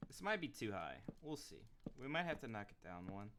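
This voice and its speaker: A man live streaming a building game, chatting casually with his viewers and reacting with excited exclamations. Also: medium pitch, fairly quick, slight background noise. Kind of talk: casual live-stream chat while gaming.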